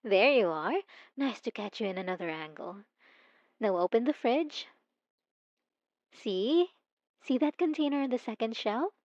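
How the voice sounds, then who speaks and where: Woman voicing a possessive young stalker for ASMR. Soft, intimate, slow and teasing. Dry, slightly muffled sound. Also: fairly high pitch, expressive, dry recording. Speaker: narrator speaking to the listener. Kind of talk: ASMR roleplay.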